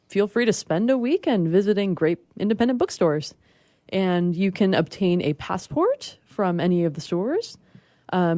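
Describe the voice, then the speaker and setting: Lowish-voiced woman explaining on radio. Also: dark tone, no room sound, studio quality. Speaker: radio show panelist. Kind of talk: informative casual chat.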